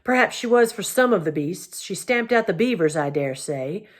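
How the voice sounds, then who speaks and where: A woman's voice: fairly low pitch, animated, moderate pace. A woman voicing a bitter, defiant male dwarf in a storybook, arguing with cold, sneering emphasis.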